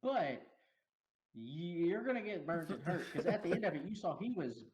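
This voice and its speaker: Animated man in casual movie chat. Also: on the high side, normal speed. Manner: animated, laughs often, acts out quotes. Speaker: podcast co-host.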